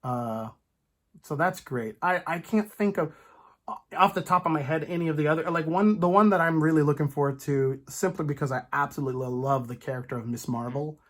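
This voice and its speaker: A podcast host chatting casually as a fan, in an animated, rambling, stop-start way with playful asides. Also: mid-range voice, brisk. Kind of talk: casual comic book fan chat.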